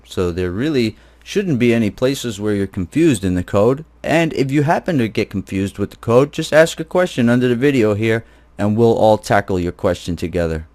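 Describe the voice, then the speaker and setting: A man's voice: fairly low pitch, darkish tone. A man teaching an informal screencast programming tutorial, explaining step by step in a casual, blunt, conversational way.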